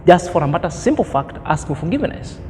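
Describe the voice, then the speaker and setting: A man's voice: on the high side, very animated, fast talker, dark tone, big-room sound, a little hiss or hum. A man in his thirties giving an opinion commentary as a vlogger, preachy and emphatic, fond of grand words. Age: 30s.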